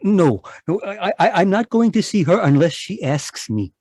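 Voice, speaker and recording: Give this radio mystery actor's part of a nervous, wronged husband who stammers and hesitates as he pleads. The man's voice sits fairly high, soft-edged and mellow, recorded dry. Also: big pitch swings, normal speed, slightly rough, no room sound, heavily acted. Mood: anxious. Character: anxious, wronged husband seeking his wife and fearing murder. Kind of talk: old-time radio mystery acting. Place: home, live video call performance.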